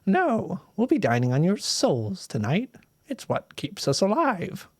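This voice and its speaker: A man's voice: high voice, huge pitch swings, unhurried, darkish tone, husky, no room sound, studio quality, pitched above the performer's own voice, exaggerated voice. A man voicing a sly, soul-eating old woman witch in a storybook reading, sweetly menacing and theatrical. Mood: gloating menace.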